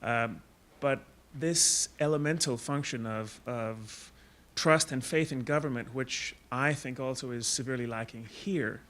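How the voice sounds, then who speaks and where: A man from Denmark in the audience, asking a long question in a measured way with fillers. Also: mid-range voice, a bit bright. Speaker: audience member.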